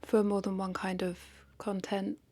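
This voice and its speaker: A woman's voice: mid-range voice, level tone, a little slow, dark tone. A woman talking casually and confessionally to camera as a vlogger, hesitant and reflective, questioning herself and repeating phrases.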